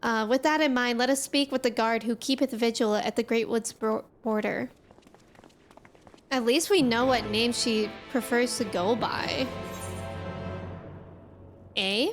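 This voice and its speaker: A young woman streaming a game, chatting casually and excitedly with lots of "like"s. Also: a little high, measured pace, long pauses. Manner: chatty, excitable, says "like" a lot. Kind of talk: casual gameplay commentary. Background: game music.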